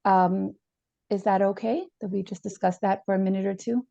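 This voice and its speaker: A formal, hesitant student therapist, played by a woman, filling her pauses with ums. Her voice is gravelly and mellow. The recording sounds dull and dry. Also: medium pitch, steady tone, no room sound, close to an everyday voice. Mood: calm, formal. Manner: hesitant, with many fillers, formal. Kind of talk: role-played counseling session.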